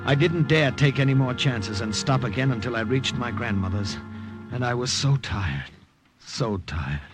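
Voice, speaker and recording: A man's voice: medium pitch, animated, normal speed, close to an everyday voice. Actor playing a worn-out american secret agent recounting his mission, old radio drama, music behind him. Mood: weary recounting. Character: weary American wartime secret agent, telling his story. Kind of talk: 1940s-50s radio drama acting with first-person narration.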